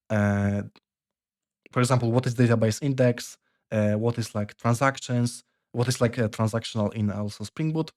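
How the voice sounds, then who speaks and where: Young Polish-accented man, casual vlog advice, stops and restarts his sentences, ordinary pitch. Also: a little mellow. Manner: halting, many fillers and restarts. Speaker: software developer vlogger.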